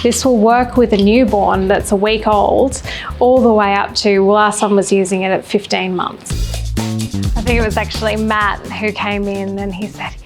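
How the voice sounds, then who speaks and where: A woman's voice: medium pitch, fairly even intonation, normal speed. A woman explaining her own product conversationally, with music under her voice. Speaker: product inventor.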